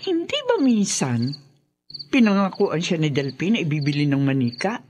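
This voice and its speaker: A woman's voice: low voice, sing-song, moderate pace, darkish tone. A fretful old grandmother. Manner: urgent, fretful. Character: anxious older grandmother. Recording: radio drama with cricket noise.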